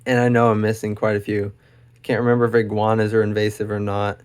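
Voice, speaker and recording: A young man hosting an educational video, talking casually and enthusiastically about animals, with lots of fillers, his voice getting hoarse. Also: lowish voice, completely flat delivery, quick pace, darkish tone. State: losing his voice. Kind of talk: casual unscripted explainer.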